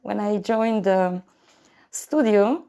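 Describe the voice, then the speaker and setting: Slow, gravelly older Bulgarian-accented woman. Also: mid-range voice, dark tone. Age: 60s or older. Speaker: adult music student. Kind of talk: casual interview recollection.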